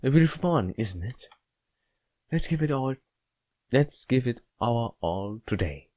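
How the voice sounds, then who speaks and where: A man voicing a friendly, cheerful young female coworker in a visual novel, chirpy and gently teasing. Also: mid-range voice, sing-song, unhurried, pitched above the performer's own voice.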